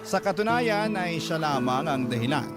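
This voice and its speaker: A man's voice: on the high side, lively intonation, normal speed, lightly acted. A man playing an earnest cook who narrates his own love story in a scripted radio drama. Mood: earnest, wistful. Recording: loud music bed behind the voice. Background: prominent music.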